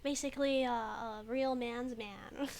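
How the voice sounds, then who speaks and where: Giggly high-voiced woman playing a game. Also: darkish tone. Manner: giggly, hesitant, lots of uh and um. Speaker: game streamer. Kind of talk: casual let's play commentary.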